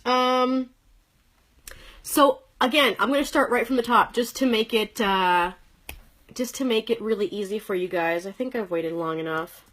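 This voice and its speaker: A woman's voice: fairly high pitch, normal speed, fairly bright tone. Chatty woman in her thirties. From Canada. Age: 30s. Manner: chatty, upbeat, greets commenters by name, frequent fillers. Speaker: direct sales presenter. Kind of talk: casual livestream talk to viewers. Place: corner of her living room at home.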